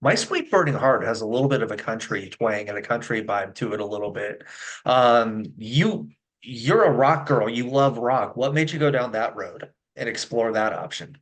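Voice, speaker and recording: Man, interview host, mid pitch, enthusiastic, rambling questions. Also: expressive, normal speed. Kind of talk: casual interview chat.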